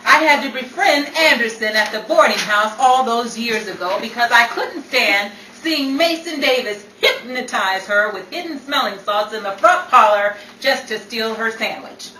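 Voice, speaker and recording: An actress plays a haughty, exasperated woman in an old-time radio comedy. The voice is sharp and fairly high, with emphatic theatrical phrasing. It is heard from a little distance in an echoey room. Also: lively intonation, moderate pace, hardly any pauses. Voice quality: emphatic, theatrical, long-winded. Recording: roomy, distant, faintly noisy.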